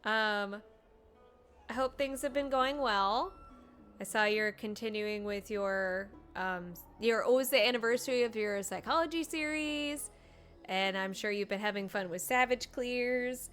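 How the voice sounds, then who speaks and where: A woman's voice: fairly high pitch, unhurried, a bit bright. A woman chatting casually and informally as she streams a game. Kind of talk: casual livestream chat. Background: game music.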